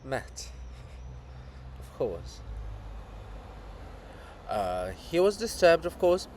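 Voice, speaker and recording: A man voicing a monument of a brooding, fatherly old poet in a stage drama, speaking in a solemn, searching, deliberate way. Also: a little high, very animated, a little mellow, a little hiss or hum, pitched above the performer's own voice, heavily acted. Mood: probing, brooding.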